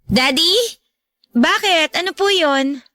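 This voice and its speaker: A woman's voice: extremely high pitch, expressive, takes it slowly, background noise, exaggerated voice. A woman with a Filipino accent playing a grieving, dutiful college-age daughter, polite and pleading, in a radio drama. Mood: polite, curious.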